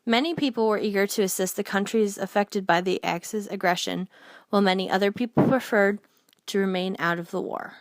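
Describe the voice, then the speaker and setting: A woman's voice: mid-range voice, a little fast, no echo. A woman narrating a scripted documentary, reading aloud and now and then stumbling over a word.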